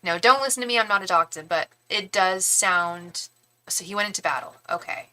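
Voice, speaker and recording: A woman's voice: medium pitch, fairly quick, pushed and bright, rough recording. A young woman doing a casual live-stream tarot reading, chatty and rambling as she talks straight to her viewers. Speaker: tarot reader and psychic.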